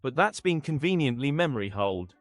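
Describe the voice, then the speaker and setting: A man's voice: medium pitch, big pitch swings, brisk, dry recording, soft background music. A man reading out short pieces of commentary.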